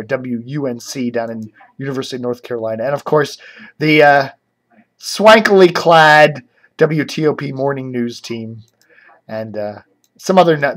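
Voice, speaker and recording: A man's voice: mid-range voice, a bit bright. A man hosting a casual web show on local radio and TV, chatting off the cuff, often breaking off to think aloud.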